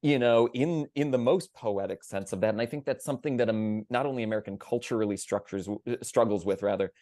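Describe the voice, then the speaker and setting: A man's voice: medium pitch, a little fast, darkish tone, no echo, clean studio sound. A man talking conversationally on a theater panel, earnest and emphatic, circling around his phrasing.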